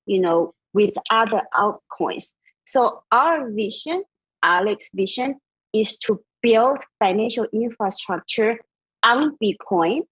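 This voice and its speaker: A middle-aged woman, born in Taiwan and raised in Austria, explaining her work as a company CEO in a measured way with many fillers. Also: mid-range voice, husky, no room sound, rough recording. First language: Mandarin. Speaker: DeFi company CEO, guest. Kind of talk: interview answers.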